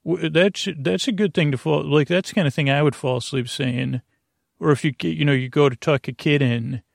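A man hosting a bedtime podcast in a halting, stammering, meandering monologue with a gravelly, creaky voice, often losing his thread. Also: mid-range voice, no echo, studio quality. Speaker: host of a bedtime sleep podcast. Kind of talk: rambling, meandering monologue to lull listeners to sleep.

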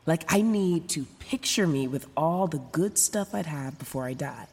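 A woman's voice: low voice, expressive, moderate pace, a little mellow, slightly rough, full character voice. Messy, frank young woman, reverberant sound. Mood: reflective. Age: young adult. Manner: rambling, self-interrupting, sarcastic, casual slangy talk. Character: messy, frank young woman, newly sober, foul-mouthed. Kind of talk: confessional first-person audio drama narration.

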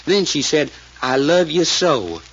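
An actor playing a folksy, excitable detective sidekick with a drawl in a 1940s radio drama. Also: male voice, a little high, unhurried, slightly rough, exaggerated voice. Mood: earnest recounting. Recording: muffled, noisy old radio recording.

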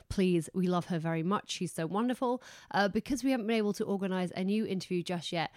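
A woman's voice: fairly low pitch, clean tone, no echo, clean studio sound. A woman hosting a podcast from home, chatty and self-deprecating, who is losing her voice. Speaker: podcast host. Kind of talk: casual podcast chat.

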